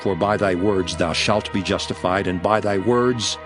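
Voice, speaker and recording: A man playing a grave, authoritative teacher who preaches in measured, solemn oratory for a dramatized scripture reading. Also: low-pitched, music in the background, natural voice. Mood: stern rebuke.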